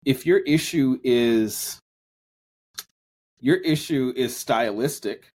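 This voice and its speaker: A man co-hosting a podcast, thinking out loud in loose, casual talk. He often restarts his sentences and stretches out key phrases while he works toward his point. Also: mid-range voice, slow. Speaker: podcast co-host. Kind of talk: casual discussion.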